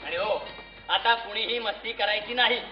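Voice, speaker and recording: Actor playing an excitable high-pitched family man, echoey stage. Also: moderate pace, loud music behind the voice, lightly acted. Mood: bossy, exasperated. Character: excitable Marathi family man, father who won a television. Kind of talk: amateur comedy stage acting. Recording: echoey, muffled stage recording, faint noise.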